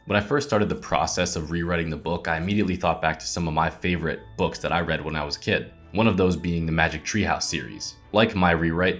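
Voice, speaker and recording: A man speaking as an author about his own creative work, enthusiastic and reflective. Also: low-pitched. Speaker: author of a retold children's book. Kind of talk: behind-the-scenes talk about own work.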